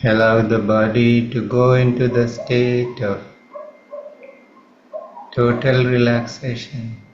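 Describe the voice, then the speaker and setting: Slow, even-toned Indian man guiding meditation. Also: mid-range voice. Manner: calm, measured, long pauses. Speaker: meditation guide. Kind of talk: guided meditation. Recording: roomy, slightly muffled, faint background noise.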